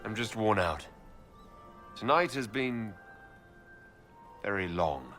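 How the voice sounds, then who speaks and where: A sincere elven hero from a fantasy game, in a low voice that moves expressively. He speaks directly and tenderly, with gentle teasing. Faint music sits behind him. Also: animated, slow pace. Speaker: player character in a fantasy role-playing game. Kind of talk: video game character dialogue.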